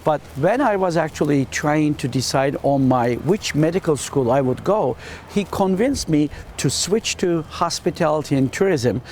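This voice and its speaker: A man from Turkey with a Turkish accent, a hospitality dean answering quick interview questions earnestly and often repeating words as he searches for phrasing. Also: fairly high pitch, animated, darkish tone, slight background noise.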